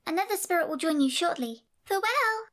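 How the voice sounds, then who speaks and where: A woman voicing a tiny, gentle, knowing unicorn filly spirit in an audio fan fiction story. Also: very high-pitched, expressive, fairly quick. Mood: calm, knowing. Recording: music behind the voice.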